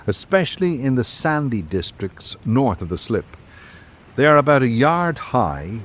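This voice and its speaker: A man plays a reflective writer narrating his travels in a radio drama. The voice is fairly low and moves expressively in pitch, composed and literary, with faint rain-like noise behind it. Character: reflective male writer visiting the island, recounting encounters.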